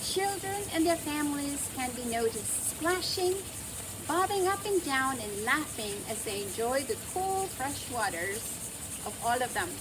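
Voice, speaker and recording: High, lilting, slow woman storyteller. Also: lively intonation, background noise. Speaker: children's storyteller. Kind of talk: expressive scripted storybook narration.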